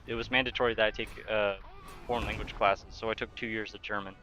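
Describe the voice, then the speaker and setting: A man's voice: lowish voice, steady tone, fast talker, bright, forceful tone, soft background music. A young man livestreaming a game, chatting casually, reading out chat questions and answering them while he talks through his play. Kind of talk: casual live-stream chat while gaming.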